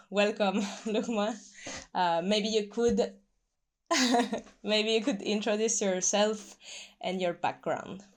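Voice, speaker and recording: A woman hosts an interview. She introduces the guest and asks about his work, speaking in a friendly way with many filler sounds between phrases. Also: mid-range voice. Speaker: interview host. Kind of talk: hosting an interview.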